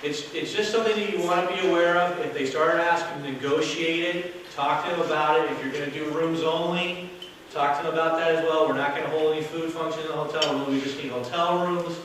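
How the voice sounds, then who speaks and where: A man giving a loud, casual workshop talk to an audience as a hotel sales director, with no microphone. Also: fairly high pitch, steady tone, fairly quick. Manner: casual, chatty, with fillers. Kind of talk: workshop presentation to a live audience. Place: meeting room with an audience. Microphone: distant camera, no microphone. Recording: very echoey room from far off with noise.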